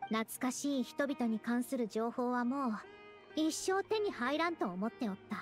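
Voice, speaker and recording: A woman plays a proud young girl scholar in an anime game, high and expressive. She speaks with the archaic, self-assured phrasing of an old sage, over faint music. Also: brisk, lightly acted. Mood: solemn, wistful. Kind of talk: Japanese anime game acting.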